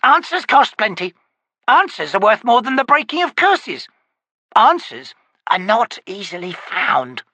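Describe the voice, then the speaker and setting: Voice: mid-range voice, very animated, pushed and bright, no room sound, pitched above the performer's own voice, exaggerated voice. A narrator voicing an ancient, cackling, toothless old woman who hisses and shrieks her cryptic answers. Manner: hissing, shrieking, slow cryptic pronouncements.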